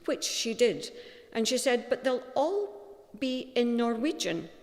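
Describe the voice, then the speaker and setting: A woman with a Scottish accent giving a gentle, storytelling tribute at a funeral. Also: fairly high pitch, sing-song, takes it slowly. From Scotland. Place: funeral chapel. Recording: long reverb of a large hall.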